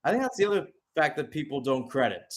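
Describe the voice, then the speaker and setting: A man's voice: fairly high pitch, big pitch swings, quick pace. Conversational male football commentator. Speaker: sports play-by-play commentator. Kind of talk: unscripted live football commentary.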